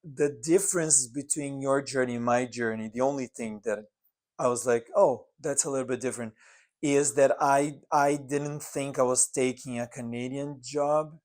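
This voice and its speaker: A man's voice: mid-range voice, steady tone, normal speed, a little mellow. A man co-hosting a casual podcast in an apartment, talking earnestly and reflectively, often restarting his phrases. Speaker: podcast co-host. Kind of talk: casual chat. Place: apartment living room.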